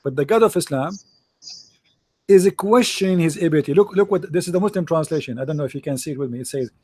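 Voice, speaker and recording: A native Arabic speaker with an Arabic accent hosts a call-in debate in English. His voice sits fairly high, and he presses each point with emphatic repetition and loose grammar. The sound is dry and close. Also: fast talker, mellow, breathy edge, no echo. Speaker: call-in show host. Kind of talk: argumentative religious debate.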